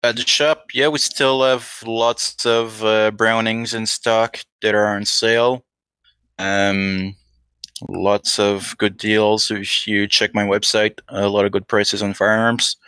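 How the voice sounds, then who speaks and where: A man with a fairly low but bright, crisp voice chats casually as a podcast guest. The recording is dry. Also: flat delivery, slow pace, dry recording. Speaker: podcast guest, gun shop owner. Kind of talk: casual interview chat.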